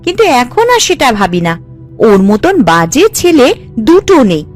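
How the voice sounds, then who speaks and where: A woman playing an outspoken, high-pitched village woman. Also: big pitch swings, moderate pace, soft background music. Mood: indignant, scolding. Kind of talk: animated folk-tale cartoon dubbing.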